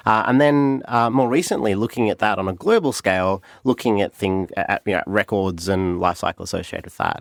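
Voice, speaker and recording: A man with an Australian accent, a guest on a podcast, explaining ideas in a thoughtful, unhurried way with fillers and restarts. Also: on the low side, gravelly, no room sound, clean studio sound. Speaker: podcast guest, company CTO. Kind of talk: conversational podcast interview.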